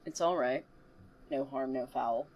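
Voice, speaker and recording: Smug, needling student seer for audio drama, played by a woman; low register, theatrical, cryptic. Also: unhurried, highs cut off. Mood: sly, cryptic. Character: taunting, smug, cryptic student who claims visions.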